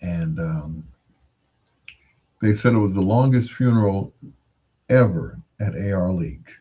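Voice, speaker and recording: A man's voice: low-pitched, lively intonation, takes it slowly, mellow, husky. An American man hosting in a casual, rambling monologue, musing aloud and posing rhetorical questions. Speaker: radio-style host.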